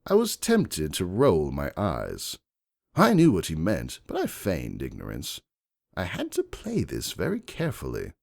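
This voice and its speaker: A man voicing a shrewd, composed aristocratic unicorn stallion who narrates his own story in a measured, calculating way. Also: deep voice, huge pitch swings, gravelly, exaggerated voice. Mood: calm, guarded. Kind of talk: first-person dramatic fan fiction narration.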